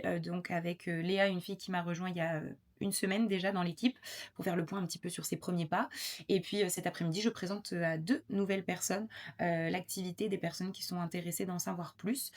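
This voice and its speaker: A young adult woman chatting casually to camera in a vlog, rambling with lots of fillers. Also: on the low side. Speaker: vlogger.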